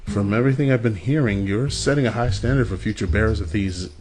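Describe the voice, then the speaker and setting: A man's voice: on the low side, fast, a little mellow, a little hiss or hum, lightly acted. A man playing a wry, businesslike former field agent in an audio drama, with a dry delivery. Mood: wry, curious. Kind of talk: amateur audio drama acting.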